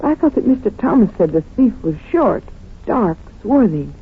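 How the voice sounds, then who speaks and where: An actress playing an eager, playful young sweetheart in an old radio drama, curious and coyly affectionate. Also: female voice, medium pitch, sing-song, moderate pace, breathy edge. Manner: curious, coy, affectionate questioning. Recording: muffled, narrow vintage radio recording.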